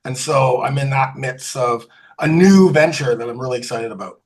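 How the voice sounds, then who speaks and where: A man in his fifties with a husky voice, under the weather, talking in a friendly, easygoing way as an interview guest. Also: mid-range voice, normal speed. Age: 50s. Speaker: guest, fitness coach. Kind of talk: casual interview.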